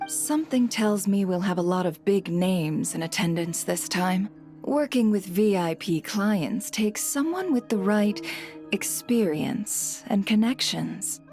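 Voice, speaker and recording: A woman voicing a poised, shrewd female guild leader in a video game, composed, deliberate and businesslike. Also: mid-range voice, a little mellow. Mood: smooth persuading. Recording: music behind the voice.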